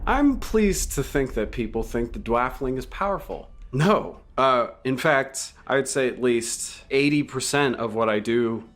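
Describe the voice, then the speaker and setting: A man casually answering fan questions in an animated, off-the-cuff way as a podcast player. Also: medium pitch, a little slow, few pauses. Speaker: podcast cast member, player.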